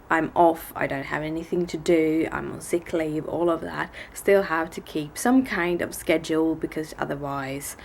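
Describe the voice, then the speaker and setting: A woman in her thirties with a bad cold, chatting casually and a bit tiredly to the camera from her living room at home. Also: vocal fry. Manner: rambling, tired, low-energy talk with restarts. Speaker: daily vlogger. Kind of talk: casual vlog chat. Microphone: camera on a desk in front of her.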